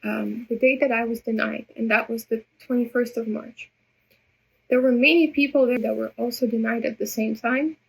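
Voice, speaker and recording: A woman whose native language is Russian rambles through an unscripted video message, halting and repeating herself as she loses her thread. Her voice is fairly high yet gravelly with fry, and has a husky, rough edge. It sounds like a phone camera held close. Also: fairly even intonation, poor audio. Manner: rambling, halting, many restarts and stammered repeats. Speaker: vlogger addressing viewers. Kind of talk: unscripted personal monologue.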